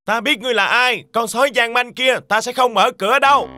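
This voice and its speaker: Eldest little pig brother: high-pitched, sharp, anxious and stubborn, for a fairy tale cartoon. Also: male voice, a little fast, slight background noise, exaggerated voice. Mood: defiant.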